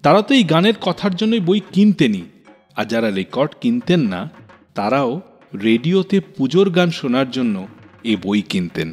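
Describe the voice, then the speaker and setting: A man hosting a radio show, narrating in a lively storytelling way and reading old texts aloud. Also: medium pitch, normal speed, long reverb tail, faint music behind. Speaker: radio host.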